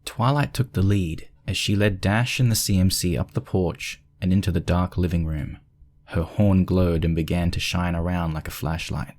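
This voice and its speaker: A man's voice: low-pitched, fairly even intonation, normal speed, a little mellow. A man reading scripted story narration as the narrator.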